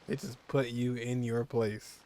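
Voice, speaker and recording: A man's voice: mid-range voice, level tone, unhurried, dark tone, a little hiss or hum. A man giving casual reaction commentary as he streams a video game. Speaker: gaming streamer.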